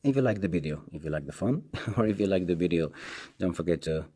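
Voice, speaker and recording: A man with a Spanish accent and Spanish as his first language, giving a casual, unscripted product review in a halting, hesitant way and repeating himself. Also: sits low, expressive, mellow. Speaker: tech reviewer, video host.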